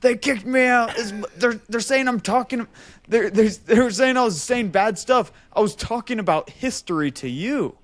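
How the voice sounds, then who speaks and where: A man voicing an excitable, insistent barfly who rambles tall tales, in a comic podcast anecdote. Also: very high voice, normal speed, voice pushed up. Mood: indignant.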